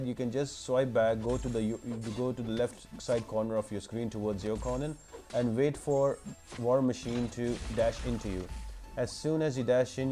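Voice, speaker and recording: Mellow man talking through a game guide. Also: medium pitch. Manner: instructional, step-by-step explaining. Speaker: gaming guide video host. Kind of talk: informal walkthrough commentary over gameplay.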